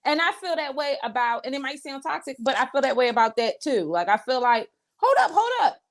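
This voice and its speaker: Lively female co-host, big swooping pitch, blunt and profane, slightly high voice. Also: brisk. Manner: animated, blunt, profane, swings into mock-outraged asides. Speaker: podcast co-host. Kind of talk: casual reality TV review chat.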